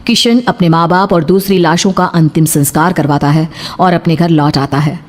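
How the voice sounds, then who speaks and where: A woman's voice: low-pitched, steady tone, normal speed, background noise. A woman reading scripted horror story narration for a cartoon. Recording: prominent music under the voice. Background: loud background music.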